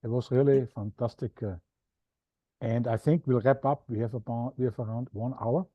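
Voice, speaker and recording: A man's voice: medium pitch, steady tone, normal speed, dry recording. A man with an Austrian accent hosting an interview podcast, chatty and a little self-deprecating as he signs off. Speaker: podcast host. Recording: dull, dry lo-fi sound.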